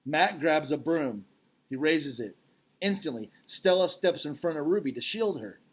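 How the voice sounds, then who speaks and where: A man's voice: a little high, animated, normal speed, husky. A man reading a children's storybook aloud as narrator. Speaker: read-aloud reader.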